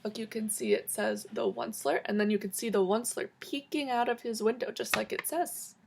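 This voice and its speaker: A woman reading a children's book aloud in an expressive storytelling style, drawing out key words for emphasis. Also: a little high, brisk, creaky, a little hiss or hum.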